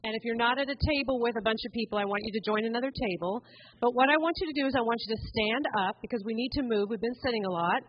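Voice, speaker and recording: A woman's voice: a little high, muffled recording. A woman giving a chatty, interactive talk at a conference, often checking in with the room. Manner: chatty, upbeat, coaxing the crowd with "right?". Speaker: conference speaker. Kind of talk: interactive talk to a seated audience. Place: conference hall. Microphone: handheld mic.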